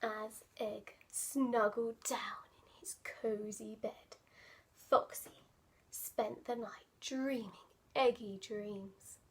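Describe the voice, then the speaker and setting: A woman reading a children's picture book aloud, dramatic and playful, often dropping into hushed asides. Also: a little high, steady tone, takes it slowly, husky. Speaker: children's storyteller.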